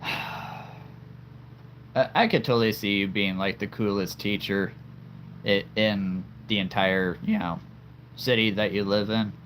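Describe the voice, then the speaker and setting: A man's voice: fairly low pitch, fairly even intonation, unhurried, pauses often, fairly bright tone, a little hiss or hum. A man hosting a livestream in casual chat, laughing often and stammering over repeated words. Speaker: livestream host.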